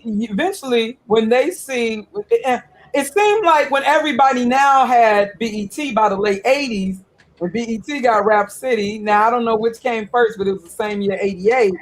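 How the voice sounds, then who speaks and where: A man hosting a casual livestream chat about music videos, animated and exclaiming as he thinks aloud. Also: extremely high pitch, moderate pace. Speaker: host.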